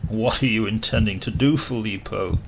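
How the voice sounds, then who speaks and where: A man voicing an exalted, ruthless male scientist in a horror story, with slow, drawn-out, imperious delivery. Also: fairly low pitch, creaky, background noise, pitched below the performer's own voice.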